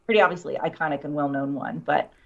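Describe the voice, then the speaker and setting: A woman's voice: low voice, fast talker, vocal fry, narrow, tinny audio. A woman guest explaining things in casual chat, as a screenwriter would on an online show.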